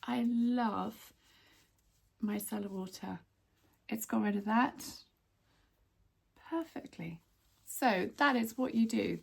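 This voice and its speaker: Chatty woman, a bit croaky. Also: fairly high pitch. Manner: chatty, friendly asides to viewers. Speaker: lifestyle vlogger. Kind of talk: casual how-to vlog.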